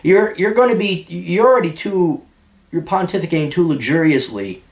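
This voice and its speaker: A man hosting a podcast, answering listener questions in a blunt, sarcastic way and mocking some of them in high put-on voices. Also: animated, normal speed, reverberant. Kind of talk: blunt casual monologue reading out and answering listener mail.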